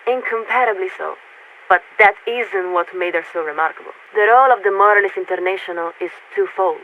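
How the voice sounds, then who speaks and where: A poised warship radio officer from a video game, polite and bureaucratic to the core. The delivery is measured and formal, coming through a thin, band-limited transmission with a light layer of noise. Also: female voice, medium pitch, dull audio. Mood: assured, lofty. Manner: formal, measured, courteous, speaks as "we". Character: poised female military signaler aboard a coalition warship, politely bureaucratic. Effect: radio transmission filter. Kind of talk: scripted video game character dialogue.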